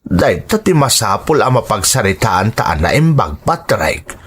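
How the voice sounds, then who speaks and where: A man plays a supportive Ilocano uncle in a radio drama. His voice sits low, with expressive swings in intonation. He counsels his family in a measured, reassuring way. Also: breathy edge, heavily acted. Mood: earnest, persuasive.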